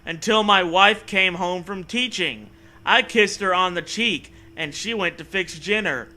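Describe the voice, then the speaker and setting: Guy w a high really sharp voice reading a story out loud to make fun of it, sarcastic, swears, kinda theatrical. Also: unhurried. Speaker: comedy show host. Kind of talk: mocking read-aloud of a story with joking asides.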